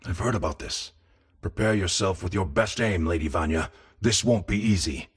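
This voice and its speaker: Resolute low-voiced medieval lord. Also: male voice, normal speed, breathy edge. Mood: cautious, steady. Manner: earnest, commanding. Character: resolute medieval lord, male bannerman leader. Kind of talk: video game cutscene acting.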